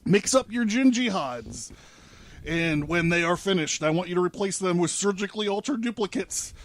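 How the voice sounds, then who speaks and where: A man with a fairly high voice hosts a podcast in a casual, joking style. He is irreverent and slangy, happy to curse, and sounds close and dry in a studio. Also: lively intonation, moderate pace, no room sound. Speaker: podcast host. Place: another person's studio.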